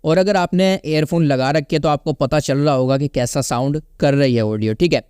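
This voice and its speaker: A man's voice: fairly high pitch, normal speed, a little mellow, clear, resonant tone, no echo, studio quality. A man with an Indian accent giving a casual, instructional step-by-step app tutorial, often checking in with listeners. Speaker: tutorial host. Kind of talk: casual step-by-step app tutorial, Hindi mixed with English words.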